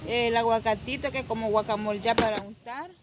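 High-voiced Salvadoran woman over loud street noise. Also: steady tone, fairly quick. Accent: Salvadoran Spanish. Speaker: cooking vlog host. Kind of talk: casual chat while showing street food. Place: outdoors on a street sidewalk. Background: passing vehicles and street ambience.

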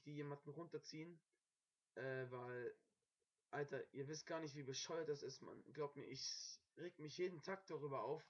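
A young man with a cold-hoarse voice, talking casually to his webcam in a small dim room, rambling with many fillers. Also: a little high. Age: young adult. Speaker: beat producer. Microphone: webcam microphone, quiet at first. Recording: dull lo-fi webcam audio.

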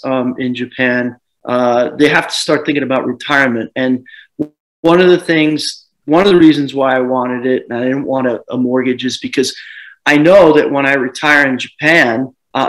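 Bright-voiced man rambling on a video call. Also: mid-range voice, poor audio. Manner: rambling, restarts a lot, animated. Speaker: home seller. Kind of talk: casual video call chat. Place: dim living room with sofa. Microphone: laptop webcam on video call.

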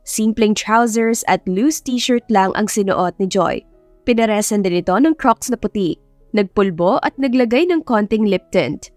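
A woman's voice: medium pitch, a little slow, a little mellow, dry recording. Woman narrating, dry sound over music. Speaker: audiobook narrator. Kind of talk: scripted romance story narration.

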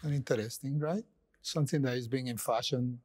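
Older man, 60s, halting guest artist, dry studio sound. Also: medium pitch, darkish tone, slightly rough, dry recording. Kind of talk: panel conversation.

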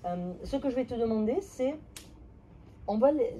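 A woman trainer calmly guiding a practice exercise in a training room, checking each step with her trainee. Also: on the high side, moderate pace, slight background noise, rough recording. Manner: calm, methodical, checking understanding. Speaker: NLP trainer.

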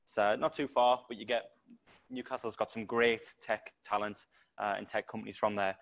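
A man with a Geordie accent speaks to a room of developers at a meetup. His voice sits fairly low, and he rambles along with plenty of ums and restarted sentences. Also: fairly even intonation, normal speed, gravelly, fairly bright tone. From England. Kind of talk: casual tech talk presentation.

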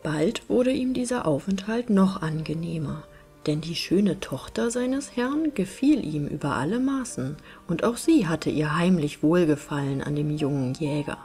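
A woman narrating a fairy tale as an audiobook reader, in an expressive storytelling style. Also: low voice, measured pace, faint music behind.

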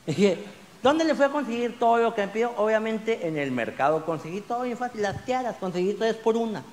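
Man, stand-up comedian, high pitch, animated and crude, reverberant club stage. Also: a little fast. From Mexico. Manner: animated, gesturing broadly, crude slang, rising to shouts on punchlines. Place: small club stage with audience. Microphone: handheld mic.

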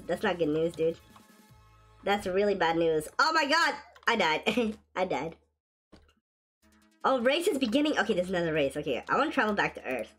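Excitable woman as gaming host, raspy sore throat, lively high voice, faint music bed. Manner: excitable, shrieks and laughs at wins and deaths. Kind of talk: casual gameplay commentary. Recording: faint game music behind.

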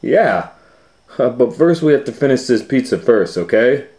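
A young cartoon slacker, played by a man reading a storybook, laid-back yet easily scared. He talks in slangy, hesitant phrases at a raised pitch. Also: animated, moderate pace, darkish tone, lightly acted. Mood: agreeable. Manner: casual slangy hesitant talk.

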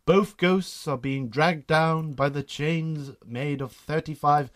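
A man's voice: fairly high pitch, slow, a bit bright. A man with a Northern Irish accent narrating a scripted radio drama.

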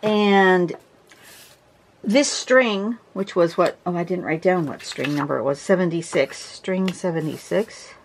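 Brief: woman teacher, lowish voice, stuffy, chatty and rambling. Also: measured pace, slight background noise. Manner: chatty, restarts a lot, clears her throat. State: congested. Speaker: drawing teacher. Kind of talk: casual tutorial narration. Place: home desk, camera over the drawing surface.

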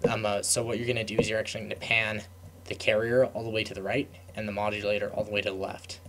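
Low-pitched young man rambling through a tutorial. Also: flat delivery, fast, over music. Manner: rambling, informal, trails off mid-thought. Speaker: software tutorial presenter. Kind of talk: casual unscripted screencast tutorial.

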